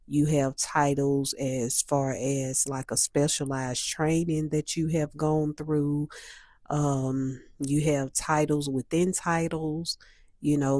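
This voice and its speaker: A woman hosting a solo talk, a little stuffy and hoarse from a cold, speaking in a measured way that repeats and restates her points. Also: low-pitched, dark tone, dry recording, studio quality. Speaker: host.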